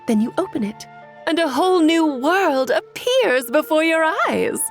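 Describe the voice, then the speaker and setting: A woman's voice: sits high, expressive, normal speed, soft background music. A woman voicing a playful, whimsical sorceress with a theatrical, breezy delivery in a video game. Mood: dreamy, theatrical.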